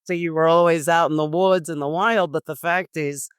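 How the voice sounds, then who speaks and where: A man's voice: a little high, fairly even intonation, moderate pace, clean tone, no echo, studio quality. A man hosting a podcast, asking questions in a casual, conversational way. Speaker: podcast host.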